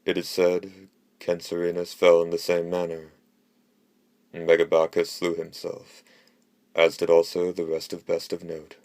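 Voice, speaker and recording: Male audiobook narrator, low and extremely even, measured delivery for classical history. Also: no echo.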